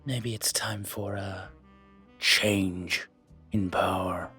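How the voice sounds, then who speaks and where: A man's voice: low-pitched, steady tone, very slow, bright, forceful tone, soft background music, heavily acted. A man voicing a brooding, dangerous dragon mafia boss, intense, threatening and fiercely protective, in an audio roleplay. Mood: quiet menace.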